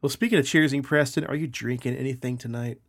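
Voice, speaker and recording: A man in his thirties co-hosting a podcast, a little nasal from a sinus infection, telling animated stories with swearing and laughter. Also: medium pitch, fast, gravelly. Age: 30s. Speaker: podcast co-host. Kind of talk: casual chat.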